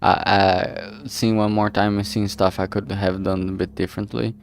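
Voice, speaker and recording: A man livestreaming casually while he works, talking in a halting, distracted way that trails off mid-sentence. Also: fairly low pitch, level tone. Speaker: streamer and visual novel creator. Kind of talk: casual solo livestream chat while working. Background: background music.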